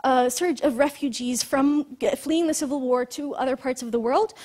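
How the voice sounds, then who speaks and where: A woman's voice: high voice, normal speed, a little mellow. A woman from Lebanon giving a conference talk, explaining earnestly with frequent fillers and restarts. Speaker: conference speaker. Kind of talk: lecture talk.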